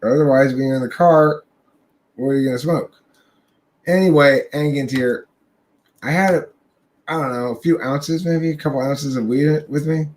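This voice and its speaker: A man's voice: on the high side, normal speed, long pauses, darkish tone. A young man hosting a livestream chat, telling a rambling, profane story and laughing along the way. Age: young adult. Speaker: podcast host.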